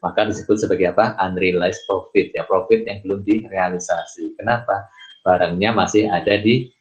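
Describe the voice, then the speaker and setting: Male lecturer, low register, halting explanatory delivery with repeated words, dull lo-fi sound. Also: steady tone. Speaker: accounting lecturer. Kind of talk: explanatory lecture with worked examples. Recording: dull lo-fi voice recording.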